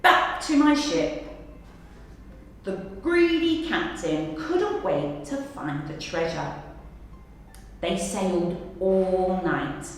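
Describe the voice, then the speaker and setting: A woman teacher reading a children's story aloud with expressive narration. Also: lowish voice. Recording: echoey hall, distant mic, background noise.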